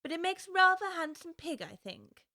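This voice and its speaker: A woman's voice: extremely high pitch, big pitch swings, normal speed, pushed and bright, dry recording, clean studio sound, full character voice. An actress playing a curious, polite young girl who is well spoken and wonders aloud, for a full-cast audiobook.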